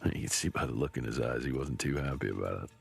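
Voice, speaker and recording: A man voicing a gruff, dry-humoured vampire hunter in a video game, with a gravelly, husky voice. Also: very deep voice, quick pace, exaggerated voice. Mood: wry, musing. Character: gruff, dry-humoured male vampire-hunting field agent.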